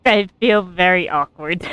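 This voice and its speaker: A woman streaming a game, giving casual, amused and giggly commentary. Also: medium pitch. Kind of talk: casual live gameplay commentary, reading some dialogue aloud.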